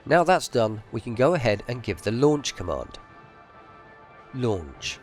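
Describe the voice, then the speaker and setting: A man delivers scripted instructional narration for a space game. He is methodical and explanatory, with an animated, varied pitch. Faint music plays behind him. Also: unhurried. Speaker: tutorial narrator.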